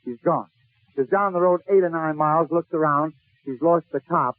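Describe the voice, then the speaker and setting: High-voiced man, tinny old radio comic. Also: normal speed, no room sound. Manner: theatrical delivery in short, punchy present-tense phrases. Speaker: comedian. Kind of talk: 1940s radio comic storytelling. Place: radio broadcast. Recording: tinny, narrow-band 1940s radio recording.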